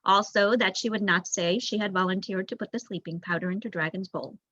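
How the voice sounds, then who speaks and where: A woman's voice: medium pitch, fairly quick, a bit bright, no room sound. A young adult woman reading a children's novel aloud as a storyteller, on a dull recording. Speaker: volunteer story reader, student.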